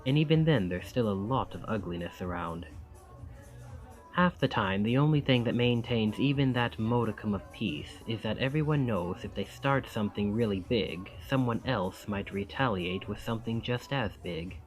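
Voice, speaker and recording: A man's voice: lowish voice, moderate pace, faint music behind, lightly acted, low-quality recording. A man acting a charming, friendly young college student in an intimate ASMR roleplay, with a self-deprecating, thoughtful and wry manner.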